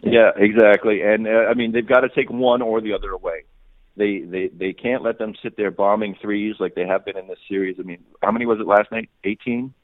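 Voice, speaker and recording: A man's voice: on the low side, steady tone, a little fast. A man giving off-the-cuff sports analysis as a guest reporter, often repeating words and restarting his sentences. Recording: muffled phone-like radio line.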